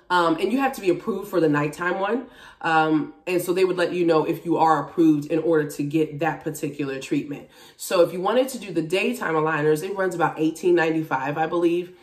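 A woman of about forty chatting casually to camera in a candid, expressive, emphatic way, recorded at home from a few feet away. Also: low-pitched. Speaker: vlogger, TV and radio personality. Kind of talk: casual chat to camera about a personal journey. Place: small indoor room at home. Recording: roomy echo, distant microphone.

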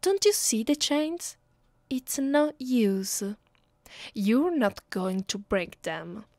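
Slow, menacing Italian-accented vampire mistress played by a woman. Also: medium pitch, creaky, darkish tone, no room sound, exaggerated voice. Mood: weary, domineering. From Italy. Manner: slow, deliberate, menacing, condescending. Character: cruel, bored, centuries-old female vampire mistress. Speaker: ASMR roleplay voice actress. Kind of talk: ASMR roleplay acting.

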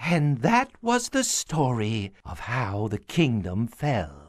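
A grave medieval storyteller narrating a video game. His delivery is slow and theatrical, with big sweeps of pitch and a dry, close sound. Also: huge pitch swings, no echo, heavily acted. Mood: portentous. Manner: portentous, theatrical. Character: grave, dramatic storyteller of a medieval war tale. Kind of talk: scripted dramatic game narration.

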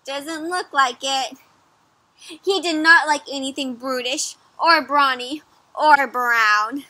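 A young woman reading a picture book aloud to children outdoors, pausing to ask them questions in a teacherly way. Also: high voice, dry recording, poor audio. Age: young adult. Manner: animated teacherly questioning, addressing children as friends. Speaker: children's story reader. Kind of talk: interactive picture-book read-aloud for kids. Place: outdoors in a garden or yard.

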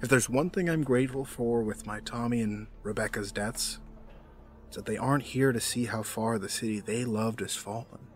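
An actor playing a middle-aged businessman villain in an audio drama, formal, measured and condescending. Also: medium pitch, faint music behind, close to an everyday voice. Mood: veiled menace.

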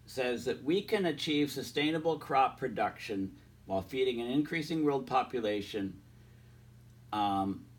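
A man's voice: mid-range voice, distant mic. A man with a cold, his voice a bit off, explaining research papers in a rambling way, reading passages aloud with many ums and restarts. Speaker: climate science video host. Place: indoors in front of a large projected screen.

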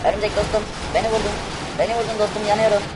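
A man's voice: flat delivery, rapid-fire, lightly acted. A man voicing a friendly, chummy talking race car in an amateur cartoon-style game video. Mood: pleading, hurt. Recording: noisy, vehicle rumble and game ambience.

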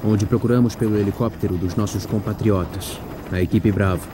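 A man's voice: fairly low pitch, steady tone, brisk, noisy background, close to an everyday voice. A man with a Brazilian accent playing a grave young special-police officer in a fan-dubbed horror game, narrating dramatically. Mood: grave, somber. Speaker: fan dub voice actor. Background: music.

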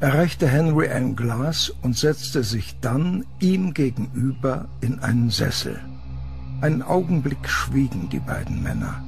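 A creaky male narrator tells a horror story over faint music. His delivery is measured and unhurried, with an expressive rise and fall. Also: medium pitch.

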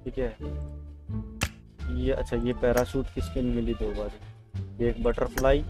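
A man's voice: medium pitch, little pitch movement, moderate pace. A man doing casual gameplay commentary, chatting to viewers and repeating his phrases. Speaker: gaming video creator. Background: game music.

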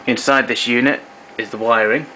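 Man, bright voice, matter-of-fact, explanatory walkthrough, medium pitch, home video with light background noise. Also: steady tone, moderate pace, poor audio. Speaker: hobbyist demonstrator. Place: indoor room at home.

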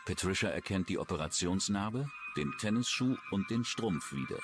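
A man's voice: fairly low pitch, lively intonation, moderate pace, over music. A man with a standard German accent reading scripted true-crime documentary narration.

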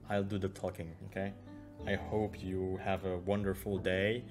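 A man's voice: low-pitched, little pitch movement, normal speed. A young man chatting kindly with a stranger on video, reassuring her and repeating words. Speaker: video chat streamer. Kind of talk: friendly video chat with a stranger. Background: guitar music.

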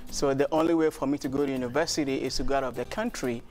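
A man's voice: medium pitch, fairly even intonation, rapid-fire, a little mellow, soft background music. A middle-aged man with a Ghanaian accent, explaining his work as an interview guest. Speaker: interview guest, shoemaker and business founder. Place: bright glass-walled TV studio. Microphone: lapel mic.

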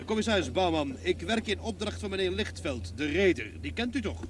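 An actor playing a self-assured, courteous private detective in a radio play, polite and persistent. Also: male voice, high-pitched, lively intonation, a little fast. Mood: polite, insistent. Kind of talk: Dutch-language radio play acting. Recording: noisy old radio drama recording.

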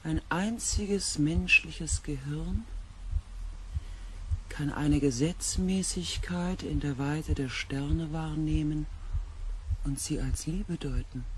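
Slow, hushed expedition pilot. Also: male voice, fairly high pitch, background noise. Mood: solemn. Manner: slow, hushed, weighty delivery. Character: male expedition pilot, shaken, earnest and watchful. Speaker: narrator and pilot in a science fiction radio play. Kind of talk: hushed dramatic radio play narration.

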